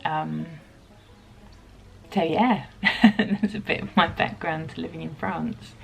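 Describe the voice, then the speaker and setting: A woman in her thirties from the UK, chatting reflectively to camera in a home vlog, pausing often with ums. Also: deep voice, fairly even intonation, gravelly. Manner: reflective, soft-spoken, many pauses and ums. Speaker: vlogger. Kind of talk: casual chat to camera.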